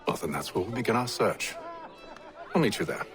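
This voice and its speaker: Deep-voiced, composed British commander. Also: male voice, animated, a bit bright, some chatter behind. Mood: businesslike. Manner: measured, formal, deliberate. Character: composed, commanding male leader of a colonial-era secret order. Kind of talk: scripted video game acting and narration.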